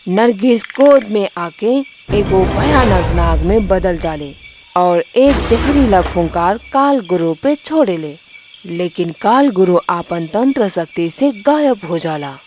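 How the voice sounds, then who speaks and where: A woman's voice: mid-range voice, animated, slow pace, noisy background. A woman reading a scripted fairy tale as narrator, in a dramatic storytelling style.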